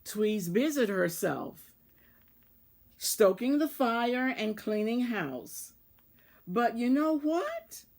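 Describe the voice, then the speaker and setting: A woman reading a children's storybook aloud, deliberately and in short phrases, as a volunteer reader. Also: fairly high pitch. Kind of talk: read-aloud children's storybook narration with asides about the pictures.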